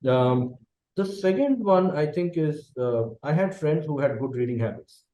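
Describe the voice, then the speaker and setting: A middle-aged Indian man whose first language is Bengali, a company managing director answering interview questions thoughtfully and often checking in with a quick "okay". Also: on the high side, lively intonation, dark tone, echoey room, a little hiss or hum. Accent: Indian English.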